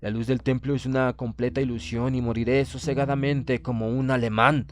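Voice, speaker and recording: Un hombre que lee un audiolibro de terror como un orgulloso oficial naval prusiano condenado, con tono grave y pausado. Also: male voice, mid-range voice, level tone, a little hiss or hum, close to an everyday voice. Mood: resigned resolve. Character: proud, doomed Prussian naval officer writing his last account. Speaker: audiobook reader. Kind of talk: dramatic horror audiobook narration.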